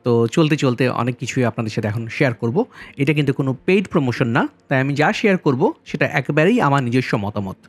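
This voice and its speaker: A man narrating a vlog as its host, politely and in measured phrases, speaking directly to viewers. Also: mid-range voice, animated, no room sound. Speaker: travel vlogger and host. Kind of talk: vlog voice-over narration with review commentary. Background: background music.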